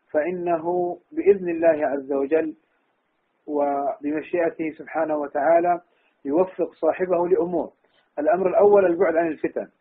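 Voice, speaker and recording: A man's voice: on the high side, husky. A man, an Islamic scholar, giving instructive religious advice off the cuff, over a tinny phone-like connection. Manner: enumerating, instructive. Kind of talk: religious advice, speaking off the cuff in a question and answer session. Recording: tinny, muffled phone-line sound.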